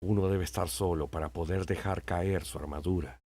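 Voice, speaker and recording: A man playing a wise, patient old king in an audiobook, speaking in a measured, sententious way. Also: sits low, steady tone, a little mellow, breathy edge, no room sound. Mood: patient, explaining. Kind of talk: audiobook character acting.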